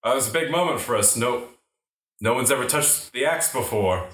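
A man's voice: medium pitch, animated, brisk, background noise, close to an everyday voice. A man playing a simple, earnest young lumberjack husband in an improvised comedy radio play. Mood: bashful. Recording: echoey room recording.

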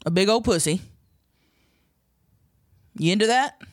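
A man plays a cocky new-money woman appearing as a contestant in a comedy dating show. The voice sits very high and is recorded dry. The delivery is blunt, crude and full of casual slang. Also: measured pace, no room sound. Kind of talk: improvised comedy sketch.

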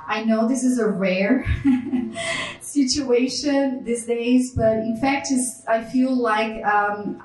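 A middle-aged woman from Brazil with a Brazilian accent, speaking as a panelist in a live talk, thoughtful with frequent pauses and fillers. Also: a little high, darkish tone. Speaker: panelist, general manager. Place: live event space. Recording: echoey room sound.

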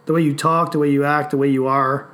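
A man in his late thirties talking casually on a podcast, animated and swearing freely as he tells stories. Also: a little high, fast. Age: 30s. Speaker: podcast host.

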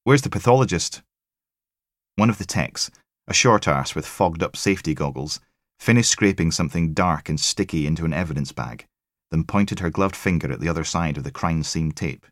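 A man's voice: rumbling low, brisk, no room sound, studio quality. A man reading a crime thriller as scripted audiobook narration.

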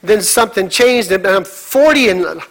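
Middle aged guy preaching, real high voice, bright and punchy, keeps asking the crowd questions. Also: expressive, normal speed, gravelly. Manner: emphatic, repeats exclamations and rhetorical questions. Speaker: pastor. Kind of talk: sermon.

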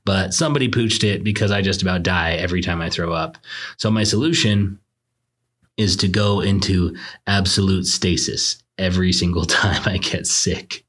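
A very deep-voiced young man with a slightly hoarse, raspy voice from a recent illness. He talks casually and pokes fun at himself, breaking into laughs as he hosts his own show. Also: a bit bright. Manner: self-deprecating, joking, laughs often. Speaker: podcast host, law student. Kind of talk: casual monologue and interview.

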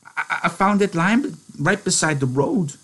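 A man voicing a slow-witted, gentle big farmhand who stammers and pleads like a child. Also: medium pitch, voice pushed up, close to an everyday voice. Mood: pleading.

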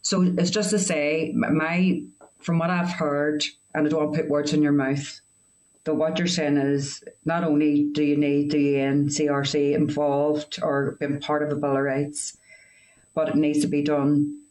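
A man speaking earnestly as a committee member, halting with restarts and fillers. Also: fairly high pitch, little pitch movement, darkish tone, rough recording. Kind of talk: committee meeting remarks.

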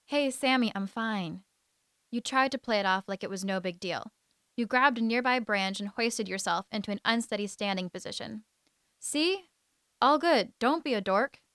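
A woman's voice: on the high side, moderate pace, fairly bright tone, no room sound, studio quality. A woman voicing a clumsy, playful teenage girl who teases and then turns tender. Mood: brushing off.

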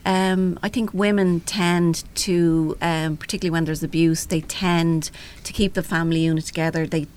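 A woman's voice: lowish voice, fairly even intonation, moderate pace, few pauses, darkish tone, smooth. An Irish woman explaining things as a helpline representative in a panel discussion, often adding "you know".

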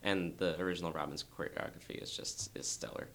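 Very low gravelly young man. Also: steady tone, fairly quick, a bit bright, a little hiss or hum. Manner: thoughtful, earnest, frequent restarts. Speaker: dancer guest. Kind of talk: reflective interview talk.

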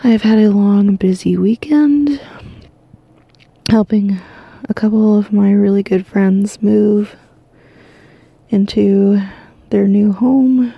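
A woman hosting a bedtime podcast from her bed, exhausted and losing her voice, talking softly and drowsily in a rambling half-whisper. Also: medium pitch, takes it slowly, gravelly, pauses often, breathy edge, no echo, lo-fi sound. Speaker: podcast host. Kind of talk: sleepy bedtime monologue. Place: lying in bed at home.

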